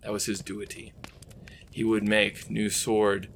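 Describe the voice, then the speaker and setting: A man's voice: on the low side, completely flat delivery, measured pace, creaky, a little hiss or hum. A man playing the earnest narrator of a clumsy action story, reading in a stilted, stumbling way. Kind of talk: dramatic reading of amateur fiction.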